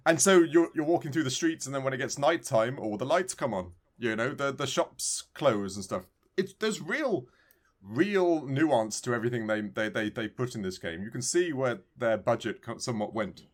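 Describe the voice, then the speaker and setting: A man's voice: medium pitch, animated, normal speed. Chatty, enthusiastic male podcast co-host. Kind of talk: casual video game discussion.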